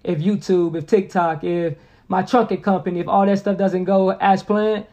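A man's voice: high-pitched, steady tone, moderate pace, darkish tone, dull audio. A man in his early twenties from Indianapolis, a podcast guest talking casually and earnestly, repeating himself for emphasis and using plenty of slang. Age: 20s. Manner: earnest, motivational, repeats phrases with lots of slang.

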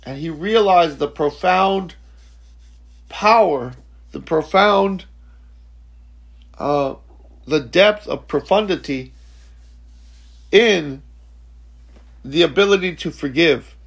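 A man in his forties giving a slow, reflective spiritual teaching talk, halting and repeating words, often checking in with "okay?". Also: on the high side, gravelly. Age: 40s. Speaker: spiritual speaker.

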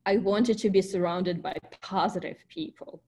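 A woman's voice: medium pitch, normal speed, a little mellow. A woman with a Ukrainian accent, a nurse telling her immigration story in an earnest, reflective way with small restarts. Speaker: nurse, interviewee. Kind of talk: personal storytelling interview.

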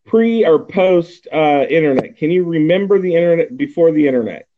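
A man's voice: fairly high pitch, expressive, normal speed. Rambling man in his forties, tinny line. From Texas. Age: 40s. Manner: rambling, many fillers and restarts. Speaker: podcast host. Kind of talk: casual chat.

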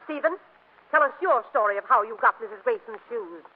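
An actress playing a prim, meddling older aunt in a 1940s radio comedy, fluttery, disapproving and commanding. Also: female voice, fairly high pitch, lively intonation, brisk. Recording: muffled old radio broadcast.

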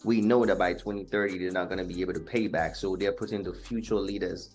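A young man from Nigeria, a musician guest, explaining things in a measured, earnest way in an interview. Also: low-pitched, fairly even intonation, a little mellow, soft background music. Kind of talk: interview conversation.

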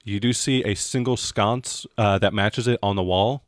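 A man narrating a tabletop role-playing game as game master, halting now and then as he improvises descriptions. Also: lowish voice, dry recording, clean studio sound.

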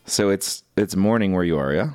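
A man hosting a podcast, reading a scripted introduction and then asking questions in a relaxed, friendly way. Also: sits low, normal speed. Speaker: podcast host.

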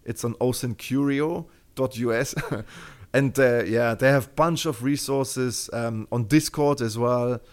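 A man's voice: medium pitch, steady tone, takes it slowly, smooth. Guest, male, German accent, casual and rambling, lots of fillers. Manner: rambling with many fillers and restarts. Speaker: podcast guest. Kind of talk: casual interview talk.

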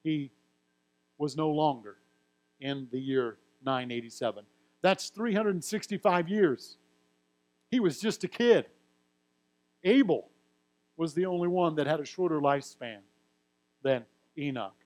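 A man's voice: a little high, unhurried, pauses often, darkish tone, poor audio. A man preaching a teaching sermon, reading a genealogy aloud and explaining it with light humor. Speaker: pastor. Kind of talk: sermon teaching through scripture.

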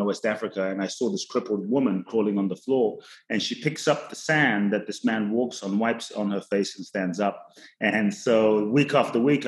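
A man from Ghana who grew up in London, a podcast guest telling his life story earnestly, with some restarts. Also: medium pitch. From Ghana, raised in London. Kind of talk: reflective storytelling. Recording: roomy echo.

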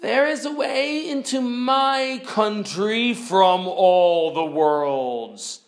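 A man voicing a small, mysterious lamb from a storybook, solemn and measured. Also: high voice, animated, voice pushed up, full character voice.

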